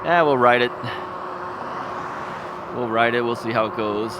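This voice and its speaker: A man's voice: medium pitch, a little slow. A man vlogs while riding an ebike on suburban streets. He talks casually and rambles, chuckling often and thinking aloud into a camera mounted on the bike. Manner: rambling, chuckling, self-questioning. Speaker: vlogger. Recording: traffic and wind noise outdoors.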